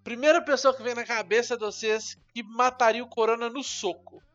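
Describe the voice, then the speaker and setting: A man's voice: very high voice, fairly even intonation, a little fast, fairly bright tone, lo-fi sound, soft background music. A young man, cracking crude, teasing jokes in casual podcast banter. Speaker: podcast co-host. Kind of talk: casual joking chat.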